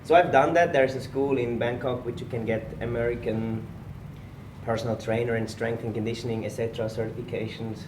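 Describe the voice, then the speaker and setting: A man talks easily about his past, his English a little in phrasing. The mic sits some way off in an echoey gym, with steady noise behind him. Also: medium pitch, brisk, far from the mic. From Switzerland. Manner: relaxed storytelling, slightly non-native phrasing. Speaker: guest, personal trainer. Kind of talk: casual podcast interview.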